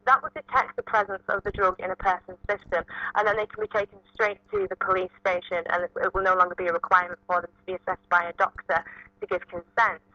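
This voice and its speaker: A woman's voice: on the low side, little pitch movement, fast, no room sound. A woman giving methodical, detailed explanations as an expert guest in a live radio interview. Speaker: motoring lawyer, radio guest. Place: live local radio broadcast. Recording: dull lo-fi radio or phone audio.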